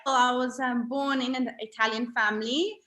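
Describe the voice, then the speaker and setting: Woman, middle-aged, high-ish voice, emphatic, video call, echoey room. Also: moderate pace. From South Africa. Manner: animated, emphatic storytelling. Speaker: podcast guest, health coach. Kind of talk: casual interview chat. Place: home room with a mirror.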